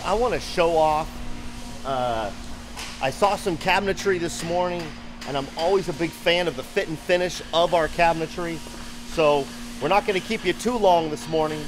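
A man in his forties giving an enthusiastic, informal tour to camera as a show host. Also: high-pitched. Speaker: company video show host. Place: large open factory hall, indoors. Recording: noisy factory floor ambience.

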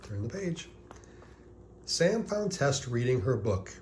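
A man reading a children's picture book aloud as scripted narration, deliberately, with pauses before key words. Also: medium pitch, sing-song, noisy background.

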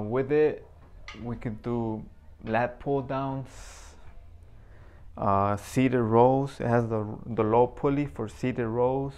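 Slow-talking young man touring his garage gym. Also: medium pitch, darkish tone. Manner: slow, unhurried, pausing between items. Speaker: home gym owner giving a tour. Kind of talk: casual walkthrough tour. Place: small home garage gym indoors.

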